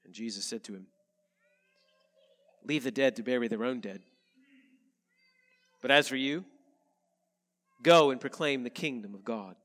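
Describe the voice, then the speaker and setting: A male pastor, a little nasal from a head cold, reading scripture and preaching in an earnest, measured way. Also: mid-range voice, a bit bright, big-room sound. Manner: earnest, measured preaching with slow emphatic phrases. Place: church sanctuary.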